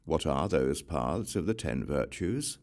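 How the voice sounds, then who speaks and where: A man with a remarkably deep, gravelly voice reads scripture aloud for an audiobook. His delivery is measured and solemn. His pitch still moves expressively through each phrase. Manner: measured, solemn reading with lively rises and falls. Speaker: audiobook reader.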